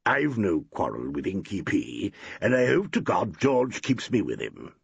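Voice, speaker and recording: A man voicing a gruff old actor who rumbles and snaps. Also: huge pitch swings, measured pace, dry recording, muffled recording, full character voice. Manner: rumbling, curt.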